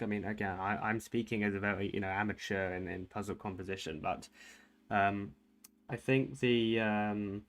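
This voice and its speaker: Soft-spoken young man rambling over music. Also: fairly low pitch. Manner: rambling, hesitant, many fillers and restarts; soft-spoken, called soothing by viewers. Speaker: streamer reading chat. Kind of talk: casual livestream chat while playing chess. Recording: faint music behind the voice.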